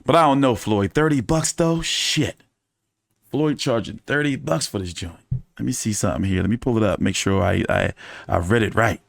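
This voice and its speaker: A man's voice: on the low side, moderate pace, creaky, dry recording, studio quality. Profane, joking male live-stream host. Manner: loose, profane, joking banter. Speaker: boxing commentary host. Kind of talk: casual live-stream fight commentary.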